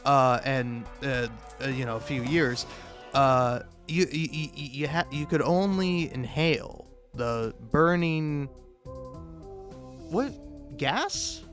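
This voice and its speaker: A man doing a rambling, casual comedic monologue, halting and profane, often stammering and cutting himself off. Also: medium pitch, a bit bright, soft background music. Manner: profane, halting, self-interrupting with stammered repeats; chuckles often while talking. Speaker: host of a comedic video.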